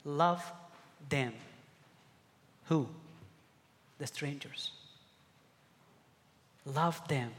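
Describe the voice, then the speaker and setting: A middle-aged man from Egypt preaching a sermon, earnest and halting, often repeating words as he searches for the next one. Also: fairly high pitch, fairly bright tone, long reverb tail. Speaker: pastor.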